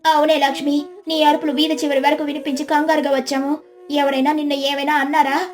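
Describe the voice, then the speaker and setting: A woman's voice: sits high, little pitch movement, brisk, voice pushed up, natural voice. A woman storyteller voicing an anxious, guilty village woman, a nosy neighbour who asks nervous questions. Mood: worried.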